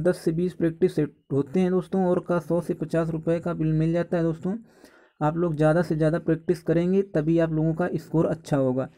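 A man's voice: fairly high pitch, warm tone. A man giving informal exam guidance as a coaching teacher, instructive and often addressing his students as friends.

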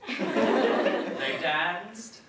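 Recorded from far off in a very echoey hall, a young man performs a fairytale as a stage storyteller. His voice is high, with theatrical emphasis and dramatic pauses for comic effect. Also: lively intonation, very noisy. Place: indoor wooden stage in a hall. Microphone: distant camera microphone.

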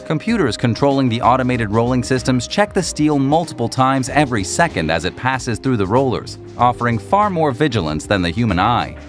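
A man reading scripted documentary narration in a measured, explanatory manner. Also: mid-range voice, sing-song, soft background music.

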